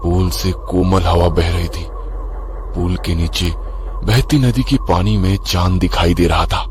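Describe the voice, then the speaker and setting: A low-pitched man tells a scripted horror tale in the first person as narrator. Faint ambient noise runs behind his deep voice. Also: fairly even intonation, unhurried. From Maharashtra, India.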